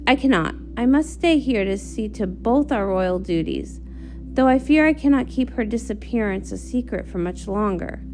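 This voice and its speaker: Woman acting a stately pony princess, animated delivery. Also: fairly high pitch, normal speed, a little hiss or hum. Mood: grave, commanding. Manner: stately, old-fashioned formal speech. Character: regal, formal pony princess of the night. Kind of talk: comic read-along character acting.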